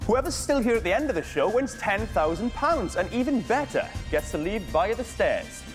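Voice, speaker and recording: A man hosting a British TV game show, delivering scripted lines with cheeky deadpan jokes in a studio. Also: sits high, moderate pace. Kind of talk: scripted playful presenting. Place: large TV studio. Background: music.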